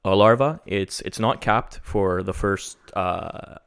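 A man's voice: sits low, takes it slowly, vocal fry, a bit bright, smooth. A young man chatting casually as a podcast guest about his beekeeping, rambling with restarts and casual swearing, a bit sniffly from a runny nose.

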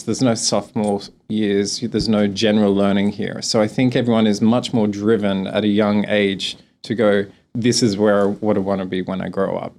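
A man's voice: on the low side, darkish tone. A young man from Australia with a mild Australian accent, answering interview questions in a chatty way, with frequent laughs and restarts. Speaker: guest.

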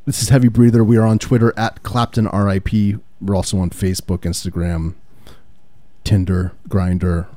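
A man co-hosting a casual comedy podcast, playing along with deadpan one-liners. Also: fairly low pitch, normal speed, no room sound. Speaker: podcast co-host.

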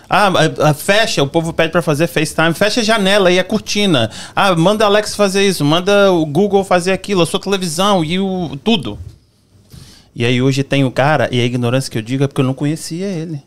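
A man's voice: fairly high pitch, a little fast. A Brazilian man hosting a casual podcast, playful and chatty, often mimicking others. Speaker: podcast host.

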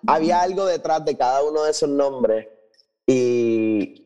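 Male co-host, warm dark timbre, mid pitch, casual review talk. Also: lively intonation, measured pace, clear, resonant tone, faint music behind. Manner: switches often between Spanish and English. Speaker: podcast co-host.

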